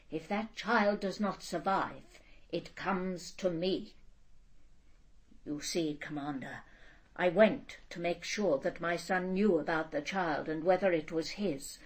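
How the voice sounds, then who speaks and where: A woman playing a proud, cold elderly aristocrat in a radio drama, speaking with haughty, precise control. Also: lowish voice. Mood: imperious, cutting.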